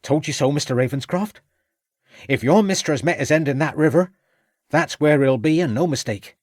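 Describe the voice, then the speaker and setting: A man voicing a cheeky, self-important young servant boy in a storybook, high and eager, with rough ungrammatical speech. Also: moderate pace, no room sound, studio quality, lightly acted. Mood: self-satisfied. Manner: colloquial, ungrammatical working-class speech, eager.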